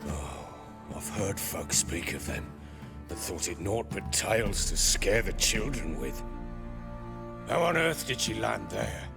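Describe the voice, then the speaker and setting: A gruff middle-aged British lord from a fantasy game. His voice is gravelly and swings widely in pitch. He is blunt, hot-tempered and weighed down by guilt, with music playing behind him. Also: sing-song, unhurried, heavily acted. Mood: troubled. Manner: blunt, coarse-mouthed, emphatic. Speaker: game character. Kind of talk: dramatic video game voice acting.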